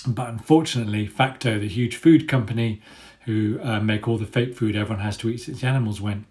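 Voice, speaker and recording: A man, an author telling and reading a children's adventure story aloud in an expressive storytelling style. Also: mid-range voice.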